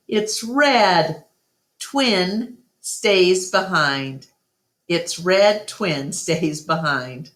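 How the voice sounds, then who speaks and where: A woman reading a children's book aloud as a storytime narrator, with deliberate, expressive phrasing. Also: low-pitched. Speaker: storytime reader. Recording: roomy, echoey home recording.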